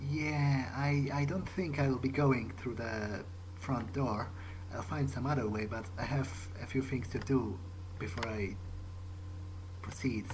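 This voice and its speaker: Male gamer speaking in character, unhurried, mid-pitched, wry and hesitant, light background ambience. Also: darkish tone, slight background noise, low-quality recording. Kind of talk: improvised in-character game roleplay.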